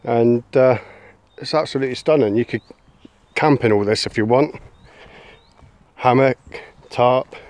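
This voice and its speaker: A young Englishman with a medium-pitched voice talks casually to a handheld camera outdoors. He chats easily, calling his companion "mate". The recording is open air, with no room echo. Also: unhurried, pauses often, a little mellow. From England. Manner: chatty, calls his son mate. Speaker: bushcraft vlogger. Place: woodland and grassy campsite outdoors.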